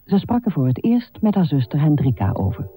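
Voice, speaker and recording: A man narrating a radio play from a script in a measured, formal manner. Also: on the high side, wildly swooping pitch, over loud music.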